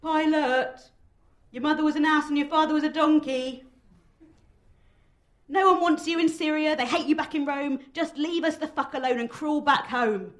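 A woman voicing a jeering, foul-mouthed protester, shouting mockingly from an angry crowd in a story reading. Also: sits high, normal speed, voice pushed up, lightly acted.

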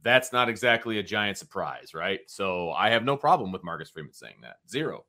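A man's voice: fairly low pitch, lively intonation, brisk, pushed and bright. A man co-hosting a casual sports talk show, speaking emphatically and repeating points for stress.

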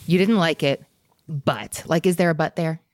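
A woman's voice: deep voice, normal speed, a little mellow, dry recording, studio quality. A woman hosting a casual conversational interview, inquisitive and reflective as she asks and muses. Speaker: podcast host, interviewer.

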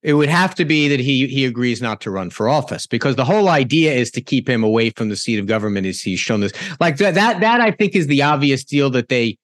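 A man's voice: mid-range voice, animated, fairly quick, few pauses. Emphatic radio host man. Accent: New York. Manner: conversational, restarts often, emphatic. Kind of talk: talk radio commentary.

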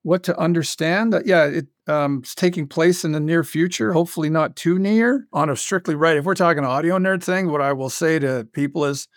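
A man with a high-pitched voice and a very dry, close sound speaks as an interview guest. He talks casually and in an animated way, breaking off and restarting as he tells his stories. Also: brisk, few pauses, dry recording. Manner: animated, self-interrupting storyteller who acts out quoted dialogue. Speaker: guest, audio drama creator and writer.

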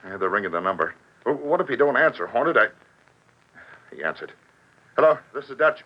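An actor playing a rough, jumpy gangster henchman in a 1940s radio crime drama, talking in stammering street slang. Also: mid-range voice, sing-song, fairly quick. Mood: frightened, pleading. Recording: muffled, noisy old 1940s radio broadcast.

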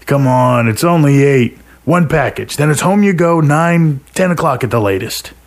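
A man's voice: medium pitch, animated, normal speed, vocal fry, voice pushed up. A man voicing a cocky, easygoing male gambling pal in a story, with a breezy, coaxing manner.

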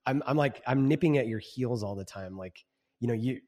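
A man in his forties talks as a podcast guest, earnest and reflective, restarting his sentences with repeated words. His pitch is ordinary for a man. A long reverb trails behind his voice. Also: moderate pace, dark tone. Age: 40s. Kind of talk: casual interview chat.

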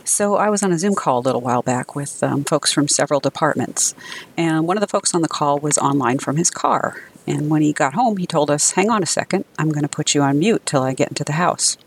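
A woman's voice: low-pitched, expressive, brisk, husky. A woman telling a casual personal anecdote as a guest contributor, conversational and amused. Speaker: guest contributor, technology services director.

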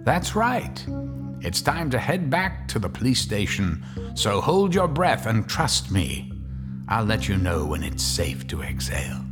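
A man's voice: fairly low pitch, big pitch swings, measured pace, music in the background. A man hosting a radio drama, closing the episode with wry, teasing remarks. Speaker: host narrator. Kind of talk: theatrical radio host outro.

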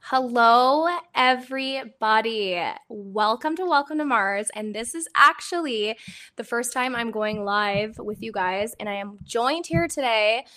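A hoarse woman chatting on her podcast, her voice slightly phlegmy from a cold. Her tone is bright and sits a touch high, and she talks in a bubbly, giggly way. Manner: bubbly, chatty, quick to laugh. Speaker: podcast host. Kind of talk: casual chat.

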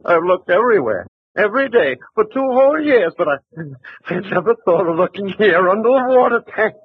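An actor playing a greedy, unhinged rancher who gloats and laughs in 1940s radio acting style, his voice husky, high and jumpy. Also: big pitch swings, fairly quick, no echo, exaggerated voice. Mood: gloating. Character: greedy, unhinged male rancher turned killer. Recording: dull, dry old radio recording.

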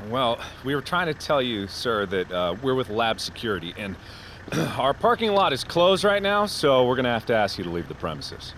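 An actor playing a polite, conciliatory young security guard in an audio drama, halting and hesitant, outdoors by a car. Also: male voice, medium pitch, sing-song, fairly bright tone, slight background noise. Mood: nervous, polite. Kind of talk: audio fiction acting.

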